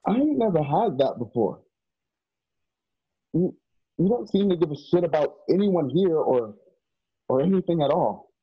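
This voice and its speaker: An actor playing an earnest, excitable young man with folksy rural speech in a radio play. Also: fairly high pitch, moderate pace, pauses often, slightly rough, full character voice. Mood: earnest, pleading. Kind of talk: remote radio play acting. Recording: dull lo-fi home microphone.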